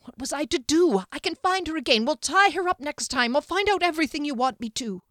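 A woman's voice: mid-range voice, very animated, normal speed, fairly bright tone, dry recording, clean studio sound, voice pushed up. A woman voicing a timid male henchman who whines and panics, his voice climbing toward a shriek. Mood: pleading.

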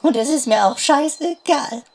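A woman's voice: high voice, moderate pace, vocal fry. A woman playing a bitter, scornful wife, snapping brusquely in a comic audio drama. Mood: scornful, irritated. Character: bitter, scornful wife fed up with cheating husband. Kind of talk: comedic audio drama acting. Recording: noisy track with clicks.

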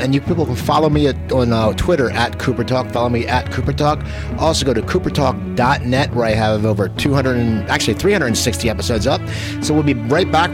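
A man with a Philadelphia accent hosting a casual interview, wordy and often restarting his sentences. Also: mid-range voice. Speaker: talk show host.

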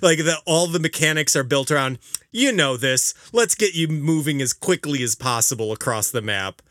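A man co-hosting a casual video game podcast, talking emphatically with halting explanations and exasperated teasing. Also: on the high side, expressive, a bit bright, dry recording, clean studio sound. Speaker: podcast co-host.

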